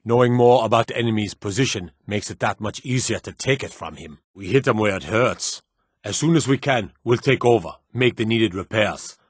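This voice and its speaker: A stern fantasy soldier, dryly recorded. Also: male voice, fairly low pitch, moderate pace, slightly rough, no room sound, studio quality. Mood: firm, conspiratorial. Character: stern, dutiful fantasy soldier and palace guard. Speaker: video game voice actor. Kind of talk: scripted fantasy game dialogue.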